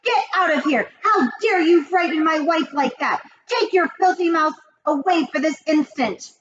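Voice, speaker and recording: A woman voicing a blustering, indignant middle-aged man who shouts at a hotel guest. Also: fairly high pitch, sing-song, normal speed, pitched above the performer's own voice. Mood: furious. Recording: dull lo-fi with faint hiss.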